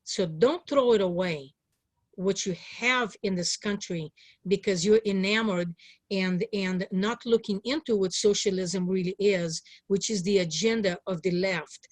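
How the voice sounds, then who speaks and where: A woman's voice: medium pitch, measured pace, dry recording. A woman in her sixties, from Hungary, giving earnest, emphatic personal testimony as a political candidate being interviewed. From born in Hungary. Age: 60s.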